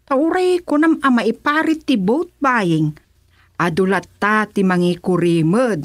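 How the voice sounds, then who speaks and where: A woman's voice: on the high side, dry recording. A woman with an Ilocano accent playing a nosy village gossip in a radio comedy-drama, inquisitive and colloquial.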